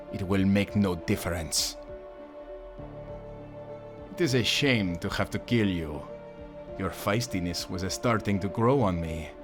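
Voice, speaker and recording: A man playing a cruel, mocking villain in an audio drama, with a taunting, sardonic, drawn-out delivery. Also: deep voice. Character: menacing, mocking villain, cruel brother of a prince.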